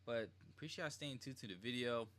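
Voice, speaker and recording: A man's voice: mid-range voice, quick pace, a bit bright. A man hosting a live stream, giving informal reaction commentary and chatting to viewers. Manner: informal, addresses chat, mimics lines. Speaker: streamer, host.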